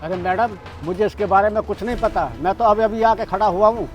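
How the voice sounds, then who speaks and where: An actor playing a polite security guard who pleads with a rude driver in a short drama skit. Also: male voice, high-pitched, brisk, muffled recording, soft background music, lightly acted. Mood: pleading. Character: patient, polite middle-aged male security guard.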